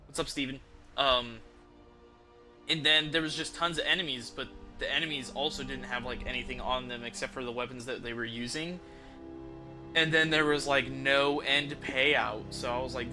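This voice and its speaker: A man hosting a game livestream, chatting to viewers in an informal, rambling, explanatory way without a script. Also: medium pitch. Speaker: livestream host, gamer. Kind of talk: casual unscripted chat to stream viewers. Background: music behind the voice.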